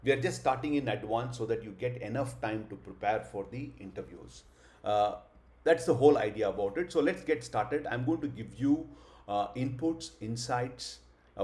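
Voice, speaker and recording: A man's voice: fairly low pitch, a little mellow. A middle-aged man giving a live online class, earnest, with many hesitant fillers and rhetorical questions. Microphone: video call.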